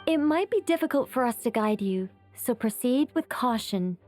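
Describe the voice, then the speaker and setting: A cautious female angel from a video game acted by a woman, with a fairly high voice. She is measured and matter-of-fact with a wry edge, over faint music. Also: lively intonation, darkish tone, natural voice. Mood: cautioning. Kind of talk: animated game dialogue.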